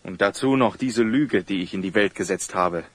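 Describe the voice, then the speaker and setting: An actor playing a flustered, honest young village sprinter in a German audio drama, halting and nervous. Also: male voice, low voice, no room sound. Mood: despairing, anxious.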